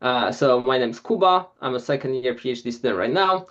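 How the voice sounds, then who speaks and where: A man's voice: mid-range voice, lively intonation, fairly quick, fairly bright tone, lo-fi sound. A man, giving an informal club presentation in a hesitant way with many filler sounds. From Poland. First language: Polish.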